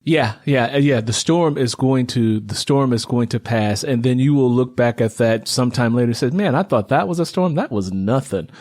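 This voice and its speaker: A man's voice: mid-range voice, normal speed, few pauses, darkish tone. A middle-aged man hosts a casual interview, talking in a rambling, reflective way. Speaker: interview host.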